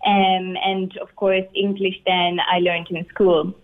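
A woman's voice: on the low side, little pitch movement. Woman on a tinny phone line. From Tanzania. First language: Swahili. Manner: measured, many "um" fillers. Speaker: radio guest, public health worker. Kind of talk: radio interview.

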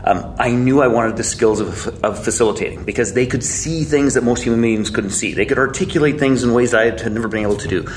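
A man's voice: mid-range voice, fast, hardly any pauses, a little hiss or hum, low-quality recording. A man in his forties, a guest telling his story in a casual, blunt way, swearing freely and joking at his own expense. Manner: blunt, swearing, self-mocking, chuckling.

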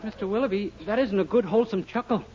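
Man as high, quick, boyish radio wisecracker. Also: natural voice. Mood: meek, dismayed. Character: naive, wisecracking young male shop employee. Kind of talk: 1940s radio comedy acting. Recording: noisy, dull, crackly 1940s radio recording.

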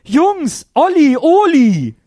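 A man's voice: extremely high pitch, sing-song, slow pace, mellow, heavily acted. A man playing an earnest, affectionate boy detective in a comic radio play. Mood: overjoyed.

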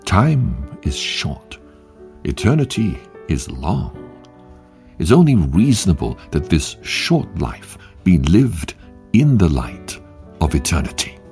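A man hosting a devotional show, reading short quotes in a measured, emphatic way with long pauses. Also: low voice, very animated, a little mellow, faint music behind. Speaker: podcast host and devotional reader.

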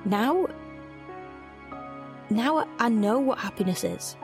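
A woman's voice: a little high, lively intonation, slow pace. A woman playing a reflective, wry young heroine, narrating her own story intimately in an audio drama. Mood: wry, assured. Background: music.

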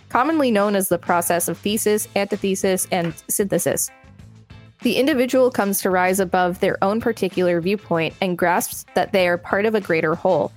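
A woman co-hosts a reading podcast in a somewhat low voice, steady through the long passages. She breaks in now and then with dry, wry remarks. Faint music plays under her. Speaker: podcast co-host. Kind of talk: reading an article aloud with banter.

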